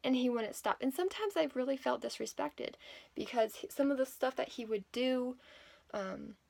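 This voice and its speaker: A woman in her thirties telling a personal story in a casual, unscripted way, halting and often correcting herself. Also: high-pitched, vocal fry, darkish tone. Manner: halting, with many self-corrections. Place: small plain room indoors at home. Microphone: phone or laptop at arm's length.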